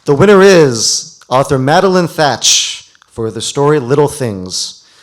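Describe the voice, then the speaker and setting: Male awards host, informal and halting. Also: fairly high pitch, sing-song. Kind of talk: live event announcing. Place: live event before an audience.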